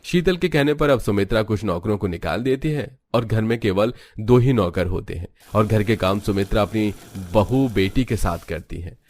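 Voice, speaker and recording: Low-pitched man narrating a story. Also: a little mellow, no echo. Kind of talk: scripted cartoon story narration.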